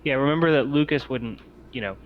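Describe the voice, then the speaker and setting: Brief: male game master, mid pitch, polite and explanatory, muffled lo-fi mic with faint noise. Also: a little fast. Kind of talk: improvised game narration and table talk. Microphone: online voice chat mic.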